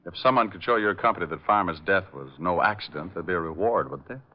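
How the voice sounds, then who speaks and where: Low-voiced, wry hard-boiled radio detective. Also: male voice, lively intonation, quick pace. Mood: dry, businesslike. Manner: dry, laconic, wisecracking. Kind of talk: 1940s radio detective acting and narration. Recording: dull vintage radio recording with music.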